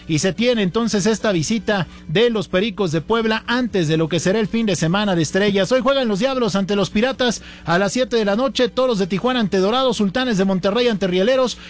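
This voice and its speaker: A man with a Mexican accent presenting a sports news report, talking in a semi-improvised way with filler connectors. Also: high voice.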